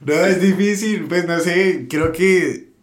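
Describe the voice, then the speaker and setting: A man's voice: high voice, slow, reverberant. A man from Colombia with a Colombian accent, a podcast guest talking hesitantly with lots of fillers. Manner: hesitant, many fillers like pues and o sea. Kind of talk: casual chat.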